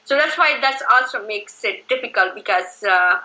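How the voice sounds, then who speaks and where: Afghan woman guest, higher and very bright voice, emphatic and giving advice. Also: animated, normal speed, rough recording. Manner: emphatic, advising, uses "you know" often. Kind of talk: interview.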